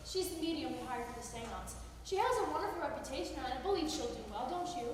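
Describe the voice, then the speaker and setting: A woman's voice: sits high, lively intonation, quick pace. An actress on stage playing an anxious young woman who feels haunted, speaking earnestly and nervously. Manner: earnest, nervous, then defiant. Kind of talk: amateur stage acting. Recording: echoey hall from far off, noisy.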